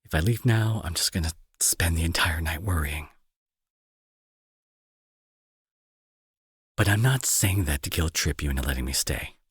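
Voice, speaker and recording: A young man playing a remorseful bully turned tender caretaker in an intimate audio drama, speaking softly and earnestly with a breathy, husky, hesitant delivery. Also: low-pitched, fairly quick, no echo, studio quality. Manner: soft, hesitant, earnest, reassuring.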